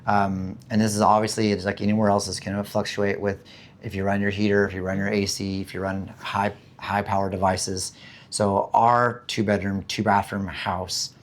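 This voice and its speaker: A man from the United States with a hoarse voice after being sick, chatting casually and rambling about living costs, with many fillers and restarts. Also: on the low side. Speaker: vlogger. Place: home in the Philippines.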